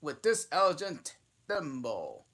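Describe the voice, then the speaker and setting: A man voicing a solemn, self-important male dodo bird in a storybook reading, speaking gravely and stumbling over his words. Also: on the high side, wildly swooping pitch, slow, a bit bright, voice pushed up, full character voice.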